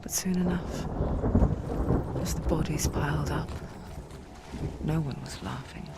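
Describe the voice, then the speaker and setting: A woman playing an eerie narrator spirit in a game, telling a story in a hushed, confiding, deliberate way. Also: low voice, very noisy. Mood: ominous. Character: eerie female narrator spirit, intimate and ominous.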